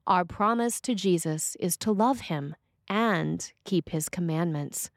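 A woman reading a scripted sermon as a preacher, with measured, deliberate phrasing. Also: lowish voice, no room sound, studio quality.

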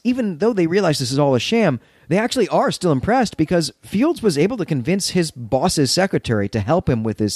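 A man's voice: mid-range voice, darkish tone, no room sound, clean studio sound. A man co-hosting a podcast, conversationally recapping and analysing a story, explaining and rephrasing his points as he goes. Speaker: podcast co-host.

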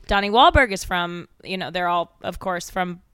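A woman's voice: lowish voice, lively intonation, moderate pace, fairly bright tone, clear, resonant tone, no echo, clean studio sound. A young woman comedian, a guest, chatting casually and laughing often, self-deprecating. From Denver, United States. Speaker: stand-up comedian, podcast guest. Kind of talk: casual chat.

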